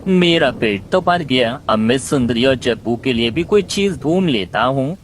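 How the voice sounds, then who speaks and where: A man voicing a selfish, callous crow in an animated moral story. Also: medium pitch, moderate pace, a little hiss or hum, close to an everyday voice. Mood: smug. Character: selfish, callous male crow who steals food.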